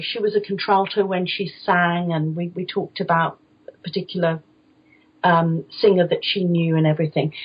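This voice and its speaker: A woman's voice: mid-range voice, breathy edge, lo-fi sound. A middle-aged British woman speaking as an interviewed voice coach, explaining conversationally and checking that she is understood. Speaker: guest voice coach, former opera singer.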